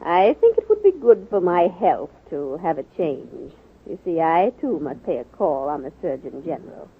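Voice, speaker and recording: A woman's voice: lowish voice, very animated, normal speed. An actress playing a frail but feisty sixty-year-old American woman reformer, wry and insistent, in 1940s radio drama style. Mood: sly, determined. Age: older. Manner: wry, insistent, crisply argumentative. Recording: muffled old radio recording, phone-like band.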